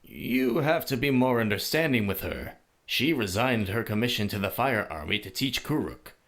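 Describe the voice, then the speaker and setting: An actor playing a calm, shrewd older male mentor in a visual novel, speaking in a measured, formal way. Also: on the low side, vocal fry. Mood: fond. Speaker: teacher. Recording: music behind the voice.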